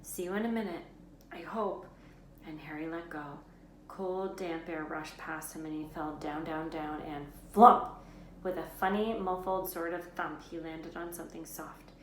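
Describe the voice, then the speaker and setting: A woman reading a children's novel aloud as an expressive storyteller. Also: sits low, a little slow. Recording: roomy echo, faint background noise.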